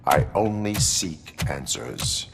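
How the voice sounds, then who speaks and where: An actor playing a stoic cyborg police officer in a video game, speaking in a deadpan, clipped, formal way. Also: male voice, sits low, slow pace, vocal fry, over loud music, heavily acted.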